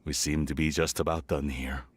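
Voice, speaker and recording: A man's voice: extremely low pitch, fairly even intonation, normal speed, slight background noise. An actor playing a middle-aged father, stern but caring, speaking earnestly and persuasively. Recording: game audio with soft music.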